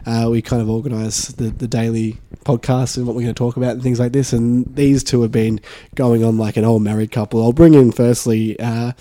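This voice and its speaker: A man's voice: mid-range voice, level tone, fairly quick, hardly any pauses, warm tone, no echo. A man hosting a casual podcast from a share-house studio, a bit sick and nasal, rambling with lots of fillers. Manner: rambling, many filler words. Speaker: podcast host.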